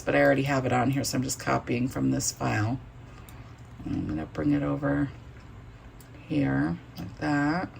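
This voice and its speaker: Low-voiced woman walking through software. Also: creaky, slight background noise. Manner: chatty, step-by-step, thinking aloud while clicking. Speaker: embroidery shop owner demonstrating software. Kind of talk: casual screen-recorded software tutorial.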